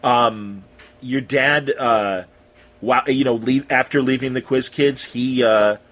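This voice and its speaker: A man with a husky voice conducting a casual interview, asking halting, rambling questions as a podcast host. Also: mid-range voice, steady tone, a bit bright, rough recording.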